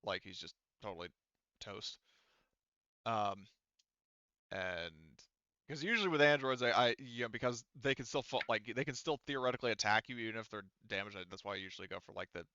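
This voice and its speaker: A man narrating and calling rules as game master of a tabletop roleplaying game, in a casual, halting way with trailing thoughts. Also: fairly low pitch, animated, sharp tone, no echo, dull audio.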